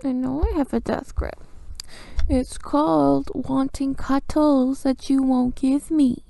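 A woman's voice: high voice, steady tone, slow, vocal fry, mellow, dry recording, exaggerated voice, low-quality recording. A woman playing a clingy, playfully bossy girlfriend in an improvised ASMR roleplay, murmuring drowsily close to the mic and often dropping to a whisper. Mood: clingy, stubborn. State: sleepy.

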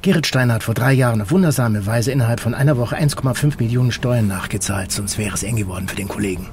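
An actor playing a guarded, stern federal intelligence officer in an audio drama, terse and controlled. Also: male voice, medium pitch, fast, a little mellow, slight background noise, close to an everyday voice. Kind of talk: naturalistic German audio drama acting.

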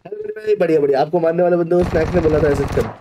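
A man's voice: on the high side, wildly swooping pitch, fast talker, noisy background. A man in his thirties with an Indian accent chatting casually with teammates as he streams a game, blunt and exasperated. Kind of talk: casual live gameplay chat with teammates. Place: indoor room at a streaming setup.

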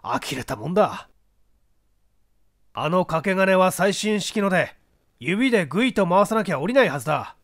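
A man's voice: sits high, sing-song, normal speed, long pauses, fairly bright tone, dry recording, full character voice. A senior police detective acted by a man, skeptical and blunt, in a mystery audiobook. He scoffs in disbelief with strongly moving intonation. The sound is dry. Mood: incredulous, scoffing. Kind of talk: literary audiobook character dialogue.

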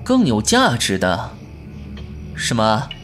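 A woman playing a brash, sharp-tongued young gentleman in a Chinese costume audio drama, theatrical and indignant. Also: a bass voice, wildly swooping pitch, slow pace, music in the background, exaggerated voice. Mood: wary.

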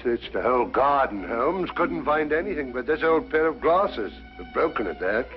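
1950s drama inspector male actor: easily startled, hugely animated, muffled, clear music behind. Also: mid-range voice, moderate pace, lightly acted. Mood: puzzled. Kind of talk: 1950s detective drama acting.

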